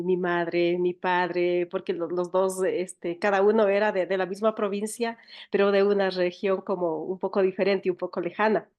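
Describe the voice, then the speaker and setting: A woman's voice: on the low side, darkish tone. A woman with an Ecuadorian accent telling stories as an interview guest, often searching for her words and repeating them. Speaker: interview guest, writer and teacher. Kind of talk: conversational interview storytelling.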